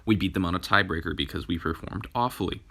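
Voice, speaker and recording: A young man hosting a gaming channel, giving casual gameplay commentary with frequent fillers, his voice a little hoarse from a cold. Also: sits low, quick pace, fairly bright tone.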